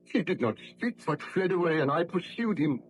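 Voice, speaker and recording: An actor playing a desperate, guilt-ridden baron in an old radio drama, with impassioned, formal, theatrical diction. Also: male voice, fairly high pitch, lively intonation, moderate pace, close to an everyday voice. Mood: haunted recounting. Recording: noisy vintage radio drama recording.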